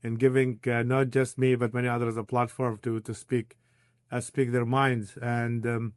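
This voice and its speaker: A man's voice: medium pitch, flat delivery, normal speed, no echo. Earnest Iraqi-born man, dry close sound. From Iraq. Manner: earnest, thoughtful, frequent fillers and restarts. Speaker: podcast guest. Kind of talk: conversational interview answers. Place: remote call. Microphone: video call.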